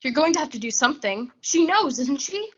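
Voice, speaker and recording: A woman's voice: high voice, sing-song, brisk. A young woman playing a scheming, jealous accomplice in a 1940s-style suspense radio drama, with a pointed, cutting manner. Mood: cool, cutting. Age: young adult. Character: scheming, possessive female accomplice, cool and jealous. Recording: dull lo-fi audio.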